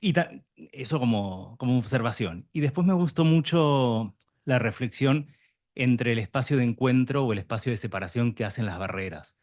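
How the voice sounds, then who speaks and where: A man speaking Spanish with a Buenos Aires accent, pausing often and circling back as he thinks aloud. His voice sits at an ordinary male pitch. He closes a round table in a meeting room before a live and online audience. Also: darkish tone. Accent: Argentine, Buenos Aires. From Argentina, Buenos Aires. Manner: hesitant, many fillers and restarts, reflective. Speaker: representative of mediator psychologists. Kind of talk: closing remarks at a round table.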